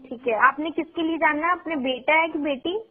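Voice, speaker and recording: A woman's voice: high-pitched, a little fast. A young woman on a thin phone line, working as a call centre agent and answering politely but firmly. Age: young adult. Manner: polite, firm. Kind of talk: customer service phone call.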